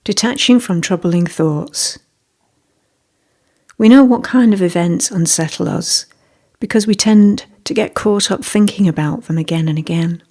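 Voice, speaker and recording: A meditation teacher narrating a guided meditation in a slow, measured, gently instructive way, with a husky, breathy voice. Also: mid-range voice. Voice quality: husky, breathy, with creaky fry.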